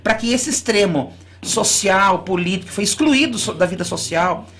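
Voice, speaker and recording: Earnest school director with restarts. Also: female voice, medium pitch, lively intonation, normal speed, a bit bright. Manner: earnest, speaks in long explanatory runs with frequent restarts. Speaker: school director, interview guest. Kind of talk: radio interview. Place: radio studio.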